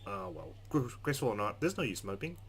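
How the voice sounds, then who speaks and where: A man doing a let's play, reading a game's narration aloud in an expressive, measured, dramatic way. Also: mid-range voice, creaky. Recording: game music under the voice.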